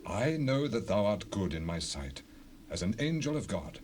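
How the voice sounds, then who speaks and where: A man's voice: fairly low pitch, very animated, moderate pace, a little mellow. A man playing a kindly, regretful ancient king in a Bible drama, declaiming in an earnest, stately way. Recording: faintly noisy 1970s cassette rip.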